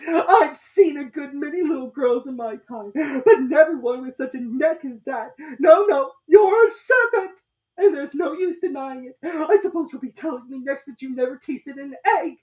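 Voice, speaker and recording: A woman voicing an indignant, frantic mother pigeon from a storybook in a shrill, screechy, scolding voice. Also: lively intonation, a little mellow. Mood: scornful, sulky. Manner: scolding, drawn-out wailing. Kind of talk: storybook character voice.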